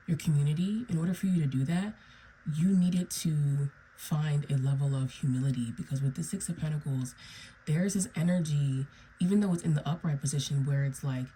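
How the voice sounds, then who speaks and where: Woman, tarot reader, low and mellow tone, slightly raspy from a cold, rambling delivery with frequent "okay?", faint hiss behind. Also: rough recording. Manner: meandering, repeats "okay?" and "right?". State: under the weather, raspy. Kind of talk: solo tarot reading to viewers.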